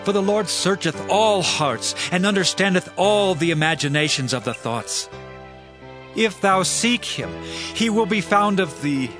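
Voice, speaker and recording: An aging king in a dramatized scripture production. His voice sits fairly high as he proclaims with solemn, commanding weight and measured emphasis. Music plays clearly behind him. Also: expressive. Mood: stern, urgent. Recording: voice over prominent music bed. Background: background music.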